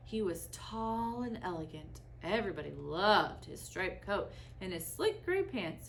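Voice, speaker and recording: Lady reading a kids story out loud, dramatic pauses, medium pitch, bit of noise behind her. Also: low-quality recording. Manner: dramatic, with deliberate pauses and emphasis. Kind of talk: expressive read-aloud of a children's story.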